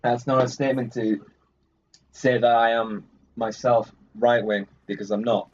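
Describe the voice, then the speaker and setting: A man's voice: medium pitch, fairly even intonation, unhurried, lo-fi sound. A man giving casual, sardonic and grumbling commentary while playing a video game. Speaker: gaming video host. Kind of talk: casual let's play commentary.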